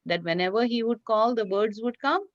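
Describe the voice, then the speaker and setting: A woman teacher reading a story aloud to young children online, explaining as she goes, repeating phrases and asking questions in an animated way. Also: fairly high pitch, fairly quick. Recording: roomy, dull lo-fi online class audio.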